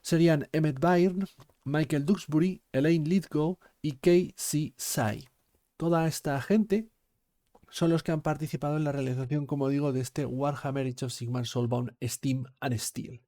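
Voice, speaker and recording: Spanish guy from spain reviewing an rpg book, mellow warm tone, careful and formal, lots of little eh pauses. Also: fairly high pitch, fairly even intonation. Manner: measured and formal, with filler "eh" pauses. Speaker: tabletop game reviewer, channel host. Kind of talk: product review talk to camera.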